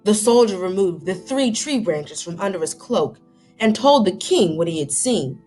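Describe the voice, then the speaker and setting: A woman's voice: medium pitch, animated, normal speed, darkish tone, soft background music. A woman reading aloud to children like a teacher, dramatic and inquisitive, stopping to put questions to young listeners.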